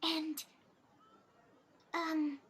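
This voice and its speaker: A woman's voice: very high-pitched, completely flat delivery, drawn-out words, full character voice. A woman voicing a polite, earnest and timid young woman in a video game, speaking in a girlish, hesitant way. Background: loud game music.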